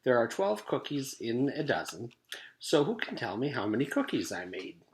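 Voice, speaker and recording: A patient, kindly lady teacher from a children's storybook, acted out by a man. The voice lifts above ordinary narration and swings expressively through clear, encouraging classroom questions. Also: mid-range voice, big pitch swings, moderate pace, a little hiss or hum, voice pushed up. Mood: patient, cheerful. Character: nice, patient woman schoolteacher.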